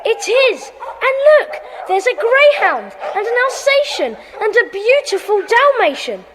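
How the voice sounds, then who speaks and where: A woman playing a plucky, excitable schoolboy who speaks emphatically in a children's audiobook drama. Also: very high-pitched, measured pace, very noisy, heavily acted. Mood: excited.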